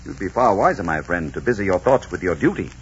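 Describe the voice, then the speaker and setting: An actor playing a greedy, insolent Spanish soldier with a husky, sneering voice, in a 1940s radio drama. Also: male voice, low-pitched, sing-song, quick pace, heavily acted. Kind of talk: 1940s radio villain acting. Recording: tinny, dull old radio.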